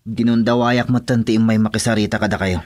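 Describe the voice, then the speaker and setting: A man's voice: fairly low pitch, steady tone, quick pace, a little mellow, no room sound, studio quality, lightly acted. A man with an Ilocano accent acting a respectful, obedient henchman to a crime boss in a radio drama. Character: deferential henchman of a crime boss, assuring loyalty.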